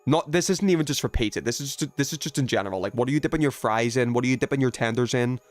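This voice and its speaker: A man from the UK streams a video game and chats casually with his viewers. He has a middling pitch and talks in an opinionated, emphatic way, swearing freely. Music plays behind his voice. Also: fast. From United Kingdom. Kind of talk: casual chat with viewers while gaming.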